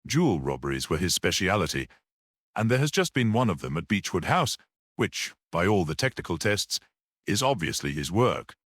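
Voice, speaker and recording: Casting: man reading as a polite, dry, self-assured storybook detective, formal and measured, with a swooping intonation. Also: low voice, very animated, a bit bright, no room sound, full character voice. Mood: courteous, dry. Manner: formal, measured, clear and courteous.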